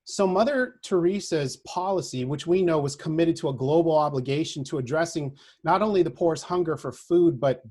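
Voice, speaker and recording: A man speaking as a social work professor, giving a prepared lecture in an earnest, deliberate manner, often checking in with a short tag question. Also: on the high side, darkish tone, husky.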